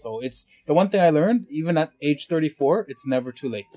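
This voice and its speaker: A man in his thirties being interviewed, speaking reflectively with soft trailing endings. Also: a little high, lively intonation, brisk. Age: 30s. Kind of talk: casual interview.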